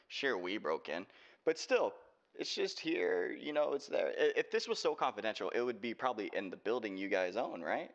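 A man's voice: medium pitch, lo-fi sound. A man playing a protective, cocky young cyberpunk hacker and boyfriend in a roleplay audio drama, talking casually and sarcastically. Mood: cocky, taunting. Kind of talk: roleplay audio drama, close and hushed in places.

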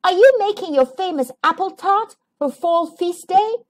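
A woman voicing a kind, excitable, generous female porcupine in an eager sing-song for a children's storybook. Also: extremely high pitch, unhurried, pitched above the performer's own voice. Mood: eager, friendly.

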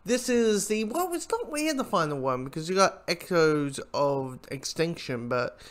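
A man giving an informal spoken review, talking in a rambling, halting way with frequent asides. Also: fairly high pitch, big pitch swings, a bit bright. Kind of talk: informal audio drama review commentary.

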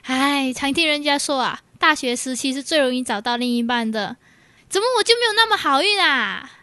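A woman's voice: high-pitched, expressive, brisk, pushed and bright, no echo. A young woman with a Malaysian Chinese accent playing a teasing, exclamatory university student and best friend in a radio drama. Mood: teasing, mock-envious. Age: young adult. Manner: exclamatory, colloquial, mock-complaining.

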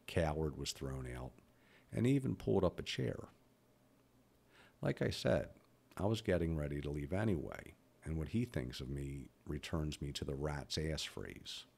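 Gravelly deep-voiced man in his fifties. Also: darkish tone. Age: 50s. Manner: stutters, blunt and candid. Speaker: podcast host. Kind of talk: personal reflective monologue.

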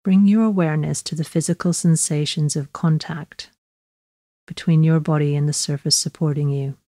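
A woman guiding a body scan meditation in a slow, measured, gently instructive way, leaving long pauses. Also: deep voice, steady tone, no room sound, studio quality. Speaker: mindfulness meditation guide.

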